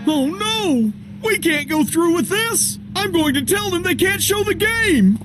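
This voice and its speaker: A woman voicing a flustered, easily panicked football coach in an animated series, hesitant and stammering, over loud music. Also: sits high, drawn-out words, exaggerated voice. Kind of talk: animated series dub acting.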